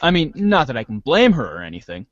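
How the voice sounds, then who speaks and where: A man's voice: mid-range voice, wildly swooping pitch, fast talker, dull audio, pitched below the performer's own voice. A man voicing a cool, cocky teenage boy chatting with his friend in a comedic read-along. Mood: cocky, casual.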